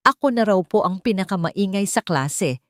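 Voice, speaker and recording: A woman's voice: medium pitch, lively intonation, normal speed, dry recording, clean studio sound, close to an everyday voice. A woman voicing a cheerful, polite young girl who is playful with her elders in an audio drama.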